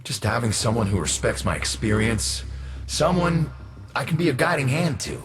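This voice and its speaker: An actor playing a wounded, well-meaning father in a video game drama, earnest and halting in his delivery. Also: medium pitch, big pitch swings, a little hiss or hum, natural voice. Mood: earnest, awkward.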